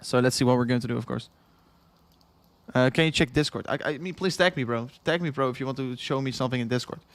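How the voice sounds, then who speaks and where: A man's voice: medium pitch, fast, no echo. A young Dutch man with a Dutch accent, hosting a long crypto livestream in casual chat, rambling and repeating himself, tired after hours on air. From Netherlands. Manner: rambling, repeats himself, chuckles often, reads chat aloud.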